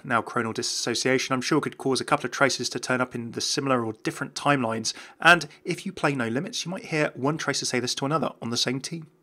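A man's voice: mid-range voice, bright, forceful tone. A man giving scripted commentary on video game lore as a host.

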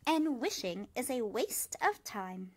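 Storybook dragonfly gentleman, read by a woman: firm, plain-spoken, matter-of-fact. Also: a little high, very animated, heavily acted. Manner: firm, brisk-minded. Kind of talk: storybook character reading.

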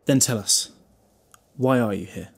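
A man's voice: mid-range voice, unhurried, breathy edge. A British man playing a glib, protective time-travelling hero in an audio drama, wry and chatty with a scolding streak. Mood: mocking, stern. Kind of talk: fan audio drama acting.

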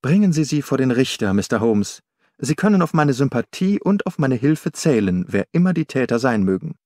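A man's voice: mid-range voice, sing-song, normal speed, darkish tone, dry recording, studio quality, close to an everyday voice. A man voicing a composed, strong-willed young woman in an audiobook, forthright and proud. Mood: resolute. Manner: determined, forthright.

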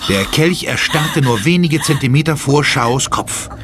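A man's voice: fairly high pitch, animated, unhurried, a bit bright, a little hiss or hum. A man giving dramatic scripted narration as the narrator of a German horror audio drama.

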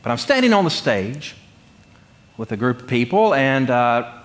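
A middle-aged man from North Carolina, preaching in a conversational, humorous storytelling way with deliberate pauses. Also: medium pitch, very animated, a bit bright. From North Carolina, United States. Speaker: pastor. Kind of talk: sermon with personal storytelling. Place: church sanctuary, speaking from the pulpit.

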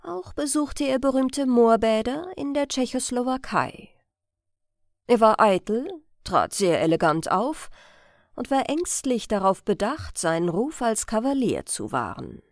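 A woman's voice: on the high side, big pitch swings, a little slow, no echo, studio quality. A woman narrating a scripted crime story as an audiobook reader.

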